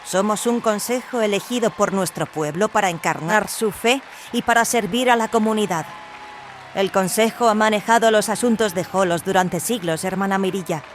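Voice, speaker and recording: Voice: mid-range voice, moderate pace, voice pushed up, natural voice. Someone doing a solemn clone leader, grand speechy delivery, audio drama, music behind. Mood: solemn, assured. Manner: grandiose, declamatory. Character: clone councillor, solemn ceremonious leader welcoming visitors.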